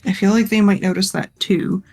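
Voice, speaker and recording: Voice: mid-range voice, steady tone, fairly quick, vocal fry, faint music behind. Hesitant player in a tabletop game. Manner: hesitant, full of fillers. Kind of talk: casual tabletop roleplay chat.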